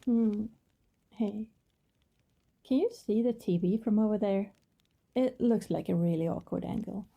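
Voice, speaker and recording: Breathy, creaky female vampire neighbor acted by a woman, coy and coaxing, unhurried ASMR roleplay. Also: mid-range voice, dull audio. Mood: playful, inviting. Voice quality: breathy, often dropping to a whisper. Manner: gentle, hesitant, coaxing. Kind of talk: intimate ASMR roleplay, soft and conversational.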